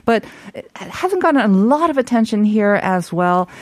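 A woman's voice: mid-range voice, big pitch swings, moderate pace, dry recording. A woman hosting a casual radio talk show, chatty and laughing easily as she steers the discussion.

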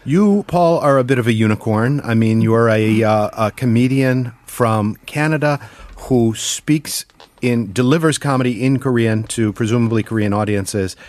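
A man hosting an interview, asking measured, articulate questions. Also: mid-range voice, a little mellow, no room sound. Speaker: interview host.

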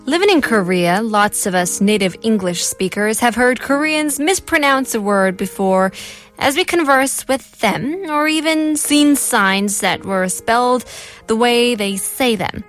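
A woman's voice: fairly high pitch, expressive, a little slow, a bit bright, soft background music. A woman hosting a radio segment, reading an essay and then chatting with her co-host, laughing easily. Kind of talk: scripted reading then casual chat.

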